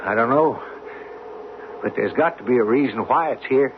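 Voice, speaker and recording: An actor playing a nervous, deferential assistant who speaks plainly and hesitantly in a radio mystery drama. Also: male voice, mid-range voice, moderate pace, close to an everyday voice. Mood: worried, baffled. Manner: hesitant, plain-spoken, uneasy. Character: nervous, deferential male assistant to an underground explorer. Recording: tinny, band-limited old radio recording, faint noise.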